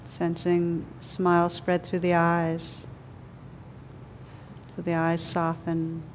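A woman leading a guided meditation, speaking gently and soothingly in slow, unhurried phrases with pauses. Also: lowish voice, completely flat delivery, a little hiss or hum, poor audio. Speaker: meditation teacher.

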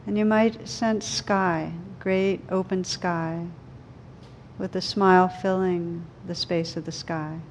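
A woman leading a guided meditation, speaking gently and soothingly in slow, unhurried phrases with pauses. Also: on the low side, fairly even intonation, slight background noise. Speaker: meditation teacher.

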